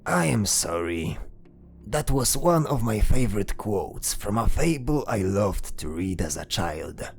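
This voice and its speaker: A man voicing a gentle old monster uncle who talks soothingly to a child in a fantasy audio drama, with a husky voice. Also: fairly low pitch, big pitch swings, heavily acted. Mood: gentle, reassuring. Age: older. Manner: soothing, reassuring, speaks slowly to a child. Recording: music behind the voice.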